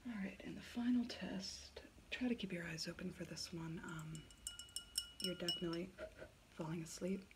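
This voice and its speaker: A woman softly whispering an ASMR doctor roleplay in an unhurried, soothing way. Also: on the low side, heavy background noise. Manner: hushed, soft-spoken, unhurried, soothing. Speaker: ASMR video host playing a doctor.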